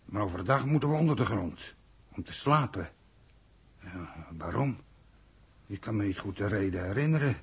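An actor in a 1960s radio drama playing an earnest, confused and submissive man from an underground city, speaking hesitantly in a husky, gravelly voice. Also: lowish voice, expressive, normal speed, long pauses, exaggerated voice. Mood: hesitant, puzzled. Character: thirsty, confused, submissive male city-dweller from a future underground society. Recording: old 1960s radio recording.

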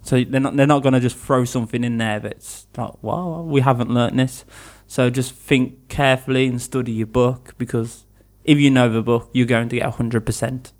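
A man's voice: mid-range voice, steady tone, moderate pace, few pauses, a little mellow. A young man from England, an English teacher, chatting casually in an interview, laughing easily and restarting his sentences.

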